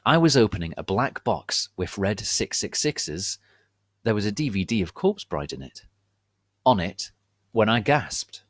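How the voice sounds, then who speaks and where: A man giving a theatrical dramatic reading of a story, deadpan about its absurd wording. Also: fairly low pitch, a little slow, no echo, studio quality. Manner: deadpan, reading misspellings and typos literally. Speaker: reader of online fan fiction.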